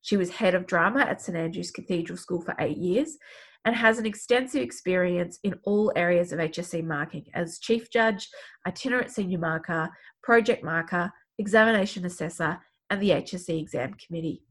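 Husky woman with a head cold. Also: fairly low pitch, brisk. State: head cold, sniffly and clogged. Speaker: podcast host. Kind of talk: scripted podcast intro and casual chat.

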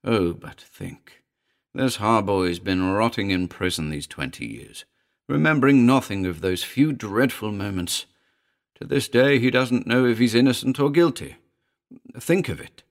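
A man's voice: lowish voice, expressive, a little slow. A man voicing an agitated, commanding male character in a dramatic audiobook, fervent and emphatic. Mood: hushed, intense. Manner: fervent, emphatic, urgent pleading.

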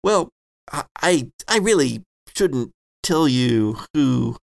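A man's voice: mid-range voice, very animated, takes it slowly, vocal fry, exaggerated voice. A man playing a flustered, hesitant female clinic desk clerk who stammers as she guards privacy in a tabletop game. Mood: reluctant, relenting.

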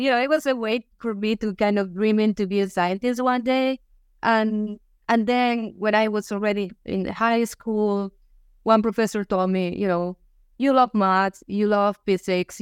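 A woman's voice: medium pitch, smooth, no echo, clean studio sound. A woman from Spain with a Spanish accent, a native Spanish speaker, talking conversationally as a podcast co-host. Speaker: co-host, engineering professor.

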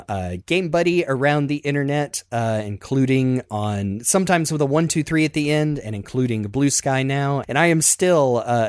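A man hosts a casual podcast in a medium-pitched voice. He rambles conversationally and is quick to poke fun at himself. The sound is dry, with little room around it. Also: no room sound. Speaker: podcast host.

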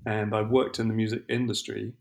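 A middle-aged man, a musician guest on a podcast, talking reflectively with many pauses and restarts. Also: on the low side, flat delivery, gravelly. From England. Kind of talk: podcast interview.

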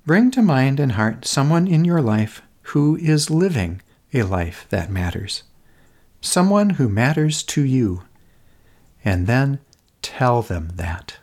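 A middle-aged American man hosting a podcast, narrating a reflective script in a gentle, deliberate, contemplative manner. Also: mid-range voice, sing-song. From Minnesota, United States. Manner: contemplative, gentle, deliberate phrasing with emphatic repetition. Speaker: podcast host.

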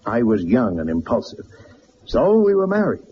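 Proud, blunt tycoon, animated pitch. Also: male voice. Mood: pained, confessional. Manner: halting, emphatic, confessional. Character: wealthy, proud, blunt middle-aged businessman, used to power. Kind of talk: radio drama acting. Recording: dull, noisy old radio drama recording.

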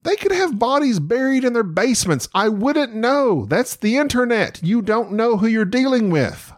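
A man's voice: extremely high pitch, big pitch swings, normal speed, no room sound, studio quality. A middle-aged man hosting a solo podcast, talking with a nasal voice in a rambling, self-mocking, chatty way. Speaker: podcast host. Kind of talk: solo stream-of-consciousness podcast.

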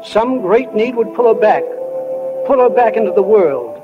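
A man's voice: sits high, very animated, moderate pace, narrow, tinny audio. A man acting as a plainspoken, philosophical small-town narrator in a 1940s radio drama. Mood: musing, solemn. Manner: plainspoken, ruminative. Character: philosophical folksy small-town narrator musing on life and death. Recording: 1940 radio broadcast with music underneath.

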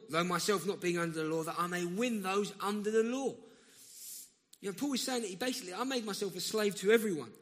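A man with a South London accent delivers a sermon in a loose, conversational way, often restarting a thought before building to emphatic bursts. His voice sits fairly high for a man. It rings with the long echo of a church hall. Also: a bit bright. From South London, England. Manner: conversational, self-interrupting, rising to emphatic bursts. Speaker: preacher.

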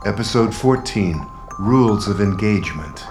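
Male announcer, lowish voice, scripted intro for a radio play, music clearly underneath. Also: animated, moderate pace.

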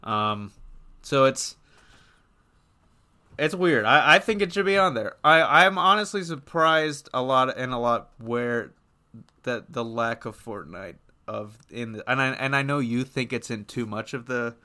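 Bright-voiced man giving opinions on a podcast. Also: medium pitch, expressive. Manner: halting, opinionated, rephrases as he goes. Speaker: podcast co-host. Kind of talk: casual gaming podcast chat.

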